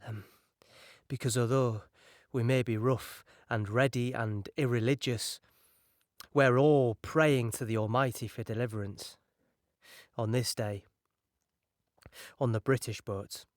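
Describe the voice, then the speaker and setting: A man's voice: medium pitch, steady tone, unhurried, long pauses, dry recording, clean studio sound, natural voice. A man playing an earnest, fearful British soldier in an audio play, recounting battle in a reflective and emphatic way. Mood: awed, hushed. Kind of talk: dramatic first-person monologue in audio play.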